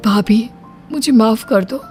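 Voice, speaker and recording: A woman's voice: a little high, a little slow, mellow, lightly acted. A woman voicing an anxious, earnest young man in a story dialogue. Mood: remorseful. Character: nervous, guilt-ridden young man of the family. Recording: music bed under the voice.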